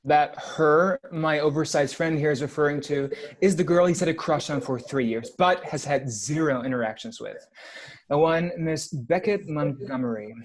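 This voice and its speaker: A man's voice: a little high, normal speed, lightly acted. An actor reading a wry, skeptical eighteen-year-old skater boy at a film table read.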